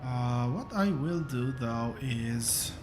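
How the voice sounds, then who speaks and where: A man narrates his game at an unhurried, halting pace, stopping often to think and filling the gaps with "uh" and "um". His voice sounds rough today, and his pitch sits in the middle range. Music plays clearly behind him. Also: lively intonation. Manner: slow, hesitant, thinking aloud with many fillers. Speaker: gaming channel host. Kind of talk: relaxed gameplay commentary.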